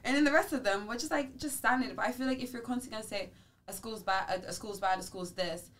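A young woman from the Netherlands co-hosting a podcast, telling stories in casual chat with an animated, laughing manner. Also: on the high side, fast. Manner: animated storytelling with frequent laughs. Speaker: podcast co-host.

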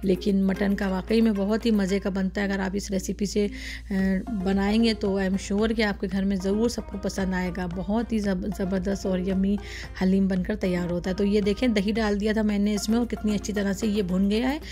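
A woman's voice: medium pitch, flat delivery, moderate pace, warm tone, faint music behind. A woman hosting a cooking channel, talking through each step of a recipe in a chatty, casual way with plenty of fillers. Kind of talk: casual cooking demonstration voice-over.

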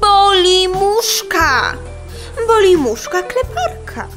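Tiny female housefly for a children's audio play: squeaky high, slow, melodramatic and unsure of herself. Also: big pitch swings, over music, exaggerated voice. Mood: doubtful, dejected. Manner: theatrical, hesitant, buzzing stammer. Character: dreamy, insecure, melodramatic little female housefly singer.

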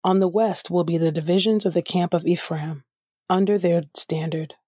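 Female scripture reader, husky, measured and formal, dry recording. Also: on the low side, steady tone, mellow, no room sound. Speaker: podcast host and scripture reader. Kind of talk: scripted Bible reading.

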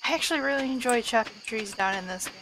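A woman with a high voice, chatting and joking about herself while she plays a farming video game. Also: fast talker, background noise. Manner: chatty, self-mocking. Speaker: gaming video creator. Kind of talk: casual gameplay commentary. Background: game music.

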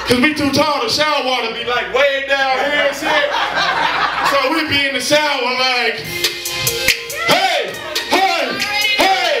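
A young man works an open mic crowd, loud and rowdy, cracking himself up between lines. His voice sits high and bounces all over. The handheld mic is heard from across a roomy little coffee house, with a roaring crowd and music behind him. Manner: loud, rowdy, laughing at his own jokes. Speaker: stand-up comedian. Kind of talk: open mic stand-up set. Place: small coffee house stage. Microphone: handheld mic, far camera. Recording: echoey room from far off, music and loud crowd. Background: crowd laughter and music.

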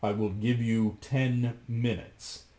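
A man voicing a cruel, murderous husband in a storybook reading, with slow, grave threats. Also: medium pitch, flat delivery, dark tone, lightly acted. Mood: menacing. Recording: echoey room.

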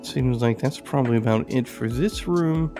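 A man's voice: mid-range voice, moderate pace, over music. A man giving casual gameplay commentary, musing and thinking aloud as he goes. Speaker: game streamer reviewing indie games.

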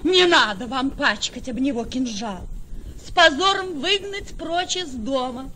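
A woman's voice: high-pitched, lively intonation, a little slow, pushed and bright, a little hiss or hum, heavily acted. An actress playing a desperate, passionate young noblewoman in a verse radio play. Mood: agitated. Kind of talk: theatrical verse radio drama acting.

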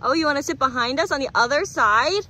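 A woman, playing dolls with children in an exclamatory, expressive way, outdoors. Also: high-pitched, quick pace. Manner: exclamatory, expressive, with frequent gasps. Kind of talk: playful doll pretend play with children. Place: sandy beach, outdoors. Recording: outdoor beach noise.

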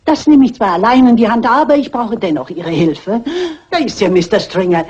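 An older woman playing a shrewd, determined elderly lady detective in a dubbed classic mystery film, brisk, polite and self-assured, with a husky voice. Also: medium pitch, a little mellow, natural voice. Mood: confident, scheming. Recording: muffled old film soundtrack.

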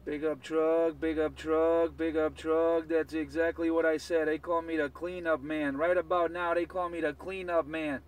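A man's voice: a little high, level tone, moderate pace, hardly any pauses. A man bantering on CB radio in a repetitive, chanting way, repeating catchphrases over a radio transmission. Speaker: CB radio operator. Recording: noisy CB radio, distant mic.

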